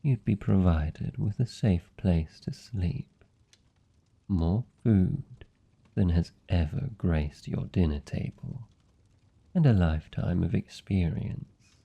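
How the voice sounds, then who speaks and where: A man's voice: deep voice, vocal fry, warm tone, slightly rough. A British man playing a suave, seductive vampire lord in a fantasy ASMR roleplay, speaking formally and teasingly with commanding, predatory politeness. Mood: persuasive. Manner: teasing, formal, silky and deliberate. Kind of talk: fantasy ASMR roleplay, slow intimate monologue.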